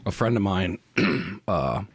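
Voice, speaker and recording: A man co-hosting a casual podcast, telling stories in a laid-back, halting way. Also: low voice, expressive, slightly rough, no echo. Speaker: podcast co-host. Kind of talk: casual profane chat.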